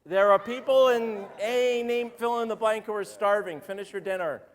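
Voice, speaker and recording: A man, a rabbi with a slightly hoarse voice, giving a chatty, joking sermon and calling on congregants by name. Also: sits high, normal speed. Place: synagogue sanctuary. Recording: roomy hall reverb.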